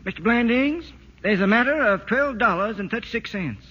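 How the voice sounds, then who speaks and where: A homespun, honest rural tradesman in an old radio comedy. His high, bright voice is laconic but singsong, in a muffled and noisy broadcast. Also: animated, moderate pace, long reverb tail. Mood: matter-of-fact. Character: folksy, slow-spoken, honest country tradesman. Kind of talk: 1940s radio comedy acting.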